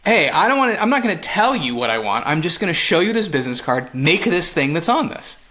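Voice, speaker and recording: Male podcast co-host from Montreal, highish forceful voice, very expressive, joking casual banter. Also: fast talker. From Montreal, Canada. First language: English. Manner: animated, joking, swears casually. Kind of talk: casual comedic podcast chat.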